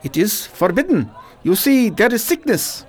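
A pushy, eager male shopkeeper in a radio play, acted out by a performer, bragging in broken English. His pitch swings up and down as he talks, and he keeps selling to the visitor. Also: big pitch swings, moderate pace, slightly rough. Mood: grave. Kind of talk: amateur radio drama acting.

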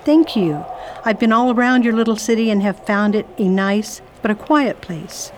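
Woman acting a well-off lady adventurer, medium pitch, polite, curious, radio drama, faint background noise. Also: moderate pace, close to an everyday voice. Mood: bright, polite. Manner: polite, inquisitive, exclamatory. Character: genteel, curious well-off English lady traveller.

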